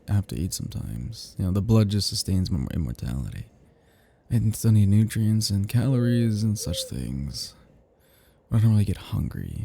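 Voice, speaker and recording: A man playing a seductive, menacing and possessive vampire in an intimate roleplay ASMR monologue, speaking in soft murmurs with a slow, teasing manner. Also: slight background noise. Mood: wry, casual. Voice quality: low, soft, breathy murmurs dropping into whispers. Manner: teasing, slow, lingering, chuckling between phrases.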